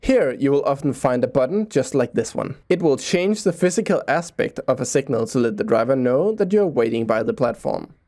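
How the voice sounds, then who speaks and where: A man's voice: medium pitch, expressive, fairly quick, hardly any pauses, warm tone. A Danish man with a Danish accent, a native Danish speaker, talking in English as a transit vlogger. He explains things in an earnest, informal way. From Denmark.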